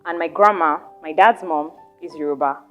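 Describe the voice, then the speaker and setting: A young woman from Nigeria hosting a casual podcast chat, animated and chatty, often trailing off and restarting her thoughts. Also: sits low, normal speed, faint music behind. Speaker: podcast host.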